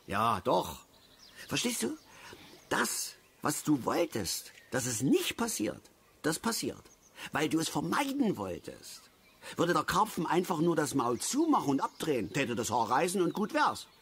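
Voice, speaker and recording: A man's voice: on the high side, wildly swooping pitch, a little slow, creaky, a bit bright, husky, heavily acted. An actor playing a cantankerous, opinionated middle-aged father and angler in a radio play, lecturing and easily worked up. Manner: blustering, lecturing, repeats words in agitation. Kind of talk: naturalistic radio play acting.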